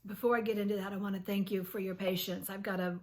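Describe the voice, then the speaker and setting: Woman in her sixties, scratchy voice, channel host, earnest soft reading, clears her throat. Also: medium pitch, level tone, fast. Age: 60s. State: scratchy voice from illness. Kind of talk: reflective reading to camera.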